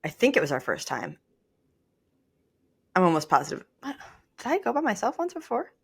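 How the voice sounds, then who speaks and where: A woman's voice: mid-range voice, huge pitch swings, brisk, vocal fry, breathy edge. A young adult woman talking casually and conversationally as a podcast co-host.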